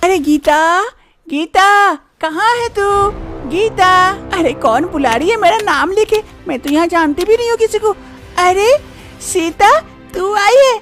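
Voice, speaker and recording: A woman voicing both twin sisters in a cartoon story, a young wife and her scheming sister, lively and teasing. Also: extremely high pitch, unhurried, over music. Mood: playful.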